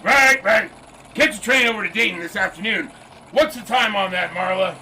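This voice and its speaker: Loud, excitable old-time newspaper boss. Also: male voice, normal speed, a little hiss or hum. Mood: cheerfully bossy. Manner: booming, enthusiastic, careless with details. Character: blustery, excitable male newspaper boss, cheerfully scatterbrained. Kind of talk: period radio drama acting.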